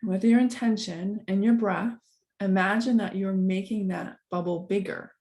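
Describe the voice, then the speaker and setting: A woman leading a guided meditation, giving soft instructions in a calm, gentle, unhurried manner with long pauses. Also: mid-range voice.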